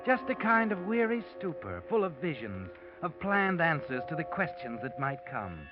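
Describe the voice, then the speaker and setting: A sly, taunting radio-drama narrator, lively voice, dull old recording, music under. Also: male voice, a little high, normal speed. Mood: sinister. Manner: insinuating second-person narration. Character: mysterious male narrator taunting a murderer.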